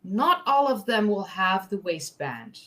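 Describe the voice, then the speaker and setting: A woman from North Holland, co-hosting a casual live history chat with quick, filler-heavy, self-correcting talk. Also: mid-range voice, sing-song, a bit bright. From Netherlands, North Holland. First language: Dutch. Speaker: co-host, history presenter. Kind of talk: casual live-stream chat about archaeology.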